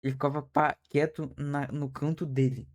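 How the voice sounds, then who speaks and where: A man's voice: on the high side, level tone, normal speed, a little mellow. A young man streaming a reaction, talking casually with slang and frequent restarts. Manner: hesitant, restarts often, slangy.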